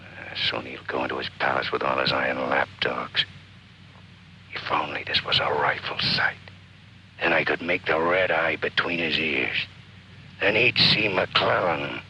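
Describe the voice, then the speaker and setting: An actor playing a bitter, obsessive soldier who mutters intensely, in an old film style. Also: male voice, very deep voice, measured pace, vocal fry, pushed and bright, slight background noise, exaggerated voice, low-quality recording. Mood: bitter, obsessed. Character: brooding, bitter, obsessive soldier, hungry to kill.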